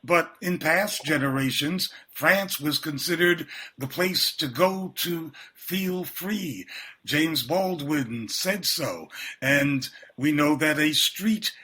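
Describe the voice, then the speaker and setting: Slow, bright-voiced male radio interviewer. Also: a little high.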